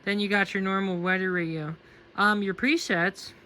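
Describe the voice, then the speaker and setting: A man's voice: high voice, steady tone, moderate pace, sharp tone. A man giving a casual, unscripted product review and demonstration. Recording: faint background noise.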